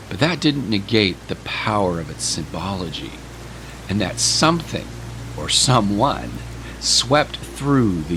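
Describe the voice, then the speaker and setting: A man narrating a scripted story in a measured, literary storytelling manner. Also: lowish voice, background noise.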